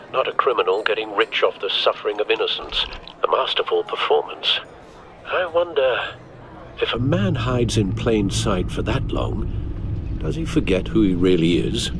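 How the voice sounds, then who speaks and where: An actor playing an older, loyal butler and adviser in a video game, articulate and dry. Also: male voice, medium pitch, a bit bright, lightly acted. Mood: wry, musing.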